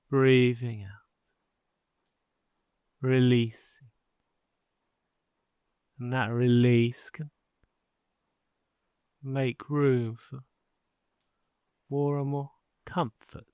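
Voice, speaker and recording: A man guiding a sleep hypnosis session in a soothing, measured manner with long pauses between phrases. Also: medium pitch, a little mellow, clean tone, dry recording. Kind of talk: guided sleep hypnosis narration.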